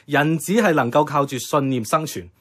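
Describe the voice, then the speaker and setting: A man's voice: on the high side, normal speed, a bit bright, breathy edge. A man acting an idealistic, stubborn young activist with a Hong Kong Cantonese accent, earnest and persuasive. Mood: earnest, insistent. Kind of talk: radio drama acting.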